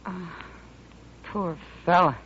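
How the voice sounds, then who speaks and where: A woman's voice: lowish voice, slow pace, fairly bright tone. An American actress playing a friendly, worldly, sympathetic saloon woman in a 1950s radio western. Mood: concerned. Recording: muffled, noisy old radio recording.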